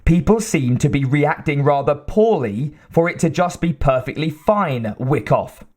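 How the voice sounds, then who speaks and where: A man voicing a gruff, sarcastic male company boss with a booming way of talking. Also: animated, measured pace, darkish tone.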